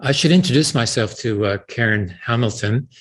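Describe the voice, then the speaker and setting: A man's voice: mid-range voice, a little fast. Hesitant older man, Yiddish first language. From Canada. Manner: hesitant, many fillers, searching for words. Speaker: guest. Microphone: webcam on a video call.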